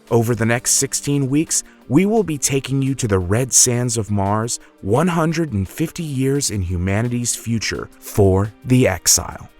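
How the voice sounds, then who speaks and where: A man hosting a podcast, delivering a scripted introduction in a polished, earnest way. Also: fairly low pitch. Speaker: podcast creator and host. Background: music.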